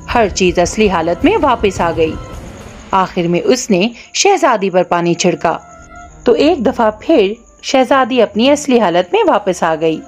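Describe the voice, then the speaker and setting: A woman narrating a scripted children's cartoon story in an expressive storytelling manner. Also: medium pitch.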